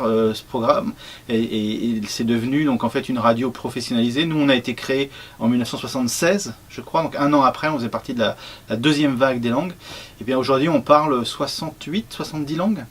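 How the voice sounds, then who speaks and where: Relaxed man, lots of hesitations. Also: medium pitch, husky. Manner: relaxed, hesitant with many fillers. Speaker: radio program head. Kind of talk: casual group presentation.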